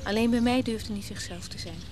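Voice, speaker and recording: An actress as a distraught, eccentric widow in a radio drama, with a fairly high voice. She speaks haltingly and wistfully, stammering and repeating words, over clearly noisy ambience. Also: close to an everyday voice. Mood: melancholy, tender. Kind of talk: naturalistic radio drama acting.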